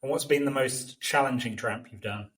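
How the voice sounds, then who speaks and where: Nz guy hosting a podcast, bit husky, calm measured questions. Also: medium pitch. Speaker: podcast host. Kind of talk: scripted introduction and interview questions.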